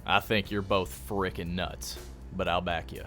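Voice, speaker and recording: An actor playing a hard-nosed, grudging vice cop in a radio play, blunt and warning. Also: male voice, low voice, little pitch movement, a little slow, fairly bright tone, faint music behind.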